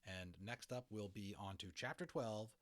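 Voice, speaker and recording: A man's voice: fairly low pitch, unhurried, fairly bright tone, no echo. A man reading a children's novel aloud as dramatic storybook narration. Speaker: amateur audiobook reader.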